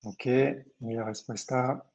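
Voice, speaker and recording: A man co-presenting a webinar, putting quiz questions and answers in a halting way, searching for words. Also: medium pitch, flat delivery.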